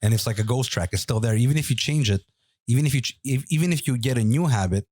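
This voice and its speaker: A man's voice: mid-range voice, fast, darkish tone, no room sound, studio quality. A man co-hosting a casual podcast, teasing his friends and insisting on his point. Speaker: podcast co-host. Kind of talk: casual chat.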